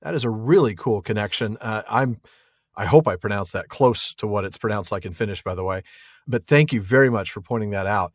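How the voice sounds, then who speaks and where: A man's voice: on the low side, a little fast, dry recording, studio quality. A man co-hosting a podcast, reading listener mail and reasoning aloud in an easygoing way, chuckling often. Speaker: podcast co-host.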